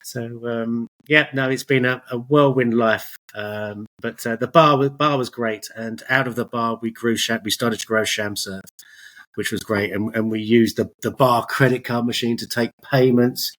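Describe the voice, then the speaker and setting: A man's voice: mid-range voice, steady tone, moderate pace, fairly bright tone. A middle-aged man chatting as an interview guest, telling his story in a hesitant, rambling, self-correcting way. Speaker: podcast guest, business owner.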